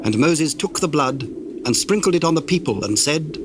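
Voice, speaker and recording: Higher-voiced man narrating over heavy noise. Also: lively intonation. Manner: formal, measured storytelling. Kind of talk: scripted dramatized scripture narration. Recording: very noisy old cassette tape.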